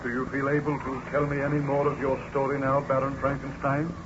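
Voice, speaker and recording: An actor playing a patient, courteous, formal ship's captain in an old radio serial. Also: male voice, medium pitch, monotone, fairly quick, close to an everyday voice. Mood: considerate. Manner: polite, formal. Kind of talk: 1930s-40s radio serial acting. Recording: muffled, very noisy old radio recording.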